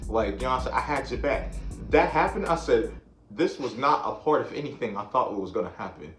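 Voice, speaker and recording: A man in casual chat with a friend, animated, acting out what people said and laughing often. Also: medium pitch, a little fast. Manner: animated, acts out quotes, laughs a lot. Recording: roomy sound with faint music.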